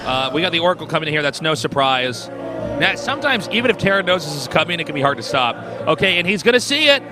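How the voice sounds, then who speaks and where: A man's voice: fairly high pitch, big pitch swings, over music. A man with a Midwestern accent casting a live esports match, joking and teasing his co-caster. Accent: Midwestern American, Kansas. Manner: joking, teasing banter with quick restarts. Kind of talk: live game commentary.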